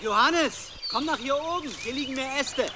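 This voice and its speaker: High-voiced, earnest young monastery novice. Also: male voice, normal speed. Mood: impatient. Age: young adult. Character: young male medieval monastery novice, earnest, pious, urgent. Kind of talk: radio play acting. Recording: clearly noisy outdoor ambience.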